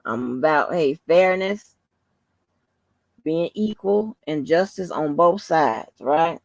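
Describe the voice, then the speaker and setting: A woman hosting a casual livestream, rambling informally to her viewers in a soft, calming voice. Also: low voice, slow pace, dry recording. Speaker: livestream host.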